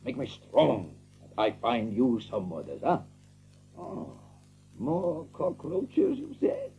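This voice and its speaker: Excitable seagull, wildly swooping delivery. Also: male voice, mid-range voice, creaky, dull audio, slight background noise, exaggerated voice. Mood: bargaining. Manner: broken English, drawn-out exclamations. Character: excitable male seagull, boastful, blunt and big-hearted. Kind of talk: audiobook drama character acting.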